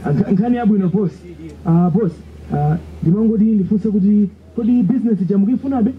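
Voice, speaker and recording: Man playing high-voiced, servile Malawian underling. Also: fairly quick, lightly acted. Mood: eager, deferential. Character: eager, servile underling named Manzi reporting to his boss. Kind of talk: radio drama phone call. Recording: slightly dull, noisy radio play playback.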